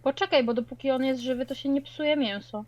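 High-voiced, slangy woman playing games. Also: fairly quick, no room sound. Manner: slangy, peppered with English words and swearing. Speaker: co-player in a multiplayer game. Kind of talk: casual gaming chat.

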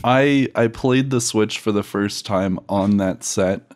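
A man's voice: lowish voice, slow, a little mellow. A man guesting on a comedy podcast, chatting casually and jokingly, acting out his own thoughts as he tells things.

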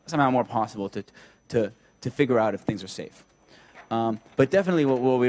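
A young man giving a technical talk at a meetup, thinking aloud with many fillers and restarts while he draws on a flip chart. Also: mid-range voice. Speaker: speaker at a tech meetup. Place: meetup room with a projector.

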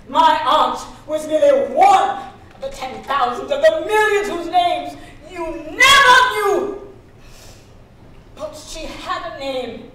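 A woman's voice: high voice, exaggerated voice. Defiant, scornful woman, echoing theater. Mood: fierce grief. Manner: theatrical, deliberate, cutting. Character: defiant, scornful woman confronting her aunt's killer. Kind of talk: dramatic stage reading. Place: large theater stage, indoors. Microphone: far from the speaker on stage. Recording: echoey hall, distant mic, faint noise.

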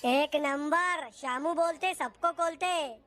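A cheeky, lazy village schoolboy in a cartoon, as a voice actor plays him. He jokes and boasts in a high voice. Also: slow, fairly bright tone, faint music behind.

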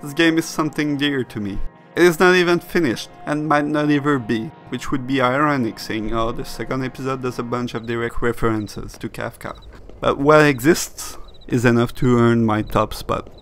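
A man's voice: mid-range voice, moderate pace, fairly bright tone. Man narrating a review over music. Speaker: narrator reading a video essay. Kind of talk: scripted narration, game review essay. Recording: music bed behind the voice.

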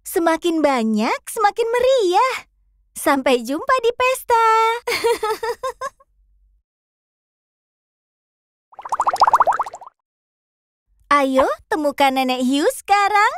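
A woman's voice: very high voice, huge pitch swings, drawn-out words, pauses often, noisy background, full character voice. A woman voicing a cheerful cartoon fox who coaxes children to join the search in a sing-song way. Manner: sing-song, coaxing, addresses the kids. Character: bright cheerful pink fox guide.

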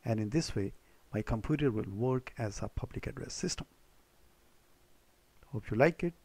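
A man's voice: mid-range voice, expressive, moderate pace, vocal fry, darkish tone. A man presenting a spoken how-to computer tutorial, explaining step by step and repeating his instructions.

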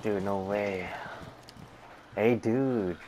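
A man's voice: lowish voice, extremely slow, heavy background noise. A man in casual gaming chat, slangy and repeating his phrases, speaking to a friend on a headset. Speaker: video game player.

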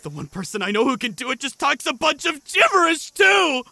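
A man's voice: extremely high pitch, big pitch swings, fairly quick, creaky, bright, forceful tone, dry recording, voice pushed up, full character voice. A man voicing a cocky young sorcerer in an anime fan dub, rambling in theatrical, exhilarated glee. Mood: giddy, manic. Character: manic young male sorcerer, cocky, exhilarated, newly powerful.

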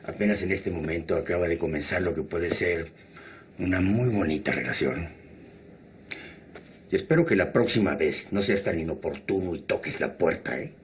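A man's voice: sits low, moderate pace, a little hiss or hum, natural voice. An actor playing a middle-aged doctor in a telenovela, teasing his young colleague about a new romance. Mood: amused. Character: older male doctor, amused and fond. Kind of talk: 1990s telenovela acting. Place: indoor office set.

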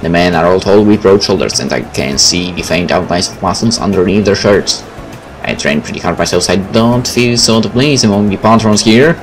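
Low-voiced man doing a game playthrough. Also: fairly quick, slight background noise, low-quality recording. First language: Polish. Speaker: gaming video host. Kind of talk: game let's play, reading text aloud with casual commentary. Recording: faint game music behind.

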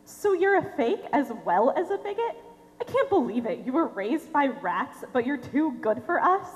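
A teenage actress on stage playing a cocky, gushing member of a rock band. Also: female voice, high voice, big pitch swings, normal speed. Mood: indignant. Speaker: actor in a high school play. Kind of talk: live amateur stage acting. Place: theater stage. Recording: reverberant hall stage recording.

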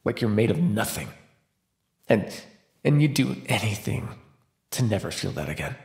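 A man's voice: lowish voice, big pitch swings, darkish tone. A man voicing a starving captive vampire in an immersive roleplay monologue, sardonic and flirtatious with a desperate edge. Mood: bleak, confessional. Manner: sardonic, teasing, breathy pauses and sighs. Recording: roomy, echoing sound.

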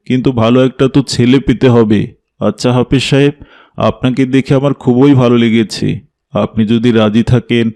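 A man plays a proud, devout cartoon father of middle age. His voice is mid-pitched and keeps to a level, serious line, as befits a strict mosque elder. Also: a little mellow, close to an everyday voice. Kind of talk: cartoon drama dialogue.

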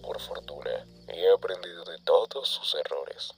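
A man with a Latin American accent playing a cold, calm, self-assured villain in an animatronic suit, in an animated fandub. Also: low-pitched, unhurried, creaky, faint music behind. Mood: smug. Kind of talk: amateur animated fandub acting.

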